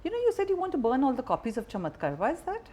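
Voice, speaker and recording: A woman's voice: high voice, huge pitch swings, rapid-fire, darkish tone, a little hiss or hum. A woman interviewing conversationally, asking direct questions in an informal way. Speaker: interviewer, journalist.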